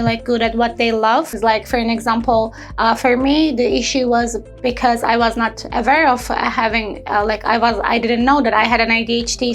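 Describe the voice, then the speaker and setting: A young woman shares her own story in an earnest, unscripted way. Her voice sits fairly high, and she leans on fillers and restarts while she searches for the right words. Soft music plays faintly behind her. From Turkey. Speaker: intern and entrepreneur. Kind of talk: personal testimonial interview.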